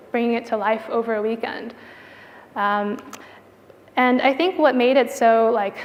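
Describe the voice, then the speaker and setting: A woman's voice: a little high, slight background noise. A woman giving a conference presentation as a product designer, talking in a casual, conversational way with informal filler phrases. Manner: casual, informal phrasing with frequent "like" and "you know". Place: indoor conference venue. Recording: reverberant hall with long echo.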